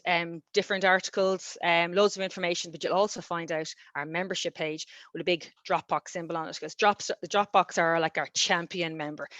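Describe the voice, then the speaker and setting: A woman's voice: on the low side, fairly bright tone, no echo. Chatty Irish woman hosting a video call. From Wexford, Ireland. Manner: chatty, rambling, with many ums. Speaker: meeting host. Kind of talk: casual online meeting introduction. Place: home kitchen. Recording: dull dry video-call audio.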